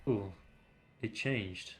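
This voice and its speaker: A man's voice: fairly low pitch, extremely slow, a little hiss or hum. A man, a native Vietnamese speaker, chatting casually and rambling through gameplay commentary on stream.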